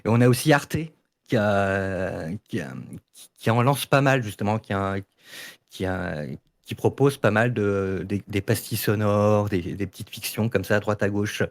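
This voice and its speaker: A man's voice: lowish voice, measured pace, no echo, studio quality. A man with a French accent explaining his views in a casual online debate, often hesitating and repeating words. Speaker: debate participant.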